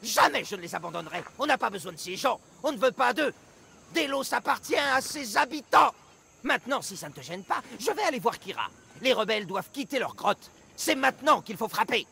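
A man's voice: very high voice, big pitch swings, brisk, gravelly, sharp tone, breathy edge, full character voice. An actor playing a fierce, defiant male rebel in a French game dub, speaking vehemently.

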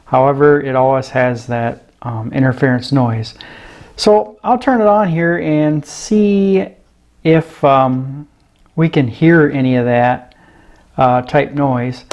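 A man giving a casual, hands-on radio review in a room at his desk, talking slowly and filling pauses with ums and uhs. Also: mid-range voice, steady tone, darkish tone. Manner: unhurried, hesitant, many ums and uhs. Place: indoor room at a desk with gear. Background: radio noise and static at times.

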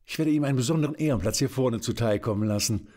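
An actor playing an effeminate, war-obsessed Prussian count with an affected, aristocratic manner in an audio drama. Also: male voice, medium pitch, quick pace, mellow, close to an everyday voice. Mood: delighted. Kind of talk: German audio drama acting.

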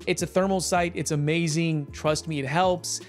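Man, hoarse after a long stream, rambling gameplay commentary, raised pitch, soft music underneath. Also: fairly even intonation, normal speed. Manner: chatty, rambling, with frequent fillers and short laughs. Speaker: gaming YouTuber.

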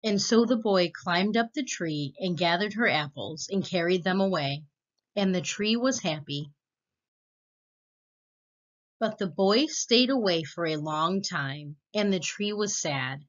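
A woman narrating a children's storybook as read-aloud host, expressive and deliberate, with slightly muffled sound. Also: mid-range voice.

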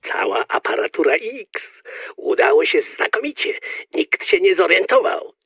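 A man playing a terse, agitated conspirator in an audio drama, with a husky, gravelly voice and clipped, secretive delivery. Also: sits high, sing-song, unhurried, dry recording, exaggerated voice. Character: terse, agitated male conspirator reporting in code. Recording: dull, lo-fi radio-like sound.

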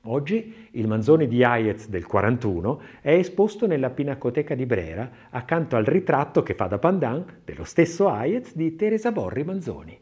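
Expressive male audiobook narrator, very varied intonation. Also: medium pitch, normal speed, few pauses. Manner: expressive, literary reading. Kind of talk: scripted dramatic audiobook narration.

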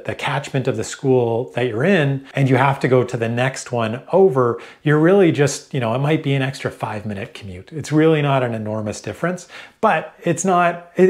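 Middle-aged canadian guy chatting to camera at home, easygoing, middle pitch. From Vancouver, Canada. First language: German. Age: 50s. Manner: relaxed and conversational, some restarts. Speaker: vlogger. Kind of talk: casual advice to camera. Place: small indoor room at home.

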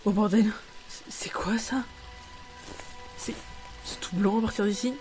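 A woman playing a sardonic, flustered editor trapped as a fantasy princess, halting, blunt and exasperated, in an audio drama. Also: mid-range voice, darkish tone, soft background music, close to an everyday voice. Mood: panicked.

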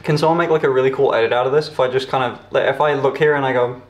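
A man's voice: mid-range voice, steady tone, rapid-fire. A British man streaming casually from his home kitchen while cooking, joking and swearing freely. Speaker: streamer host. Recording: echoey room with background noise.

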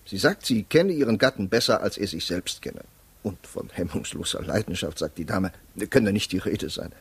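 An actor in a 1950s radio drama playing an officious, exasperated defense lawyer, agitated and pleading. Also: male voice, lowish voice, very animated, normal speed, slightly rough, exaggerated voice. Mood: earnest.

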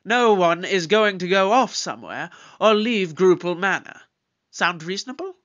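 A man's voice: sits high, animated, moderate pace, a bit bright, highs cut off. A man playing an eccentric, commanding Doctor who leads a murder inquiry with formal, theatrical authority in an audio drama. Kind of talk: scripted audio drama acting.

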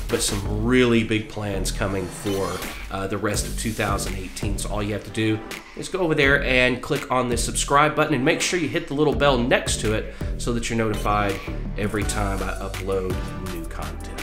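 A man's voice: lowish voice, steady tone, normal speed, reverberant, over music. A man in his thirties giving earnest motivational advice to camera as a vlog host, explaining things directly to viewers. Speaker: vlog host, music business mentor. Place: small room at home with posters, indoors.

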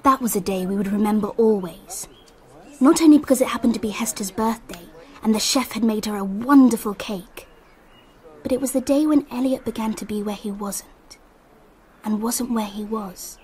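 A woman playing a thoughtful young girl, measured and earnest as she tells a radio drama story. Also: a little high, mellow, lightly acted. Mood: reflective. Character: thoughtful young English girl recounting a summer. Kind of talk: radio drama narration and acting. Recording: faint background noise.

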